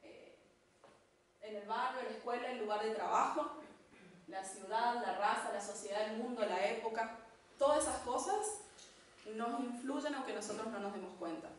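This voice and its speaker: A woman from Argentina with an Argentine accent, giving a church teaching talk in an unhurried way, with fillers and restarts. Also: on the high side. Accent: Argentine Spanish. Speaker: church speaker. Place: church hall. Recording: echoey hall, distant mic, faint noise.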